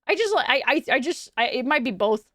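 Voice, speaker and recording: A woman's voice: sits high, big pitch swings, fairly quick, a bit bright, no echo, studio quality. A young adult woman streaming from home, chatting casually with her chat. She rambles, restarts her sentences often and laughs a lot.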